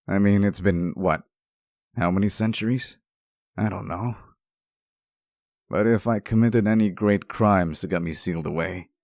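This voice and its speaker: Guy doing a lonely sweet demon for asmr roleplay, low voice, super dry close sound, pleading and tender. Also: a little mellow, dry recording. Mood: coaxing. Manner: tender, hesitant, wheedling, addresses one listener closely. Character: sealed male demon, centuries old, clingy, sweet, pleading. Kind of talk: ASMR roleplay, intimate dramatic monologue.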